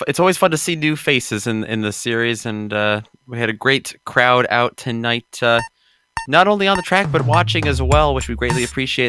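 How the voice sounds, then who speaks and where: A man co-commentating a sim race broadcast, relaxed and rambling. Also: mid-range voice.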